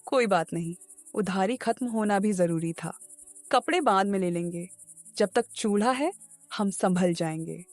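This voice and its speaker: A woman's voice: mid-range voice, a little slow, no echo, soft background music, natural voice. A woman playing a caring, sensible young village wife in a cartoon moral story. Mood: reassuring. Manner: gentle, supportive.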